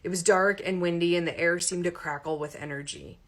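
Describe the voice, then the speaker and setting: A woman reading a romantic comedy story aloud in an expressive audiobook narration style. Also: fairly low pitch, brisk.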